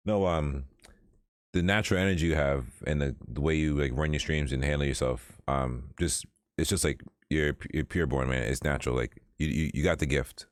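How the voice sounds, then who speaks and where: A man's voice: extremely low pitch, steady tone, a little fast, no echo, clean studio sound. A man hosting a podcast, talking in a laid-back, encouraging and slangy way. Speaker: podcast host. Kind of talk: casual chat.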